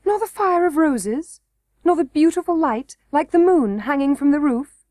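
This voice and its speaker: A high, bright little princess, earnest and tearful, as a woman reads her. She pleads eagerly in a lifted voice. The sound is close and dry. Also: expressive, moderate pace, dry recording.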